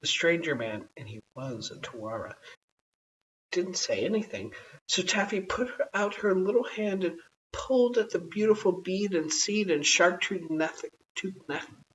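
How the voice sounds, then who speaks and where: A storyteller reading a children's bedtime story aloud in an expressive style. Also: mid-range voice, a little slow. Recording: dull, hissy, distant home recording.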